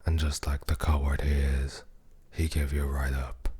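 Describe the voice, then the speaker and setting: Man acting very deep, husky mafia boss. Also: little pitch movement, a little mellow, full character voice. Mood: cold, taunting. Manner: slow-burning, condescending, intimate murmur. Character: possessive, menacing male mafia boss, seductive captor. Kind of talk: ASMR roleplay monologue.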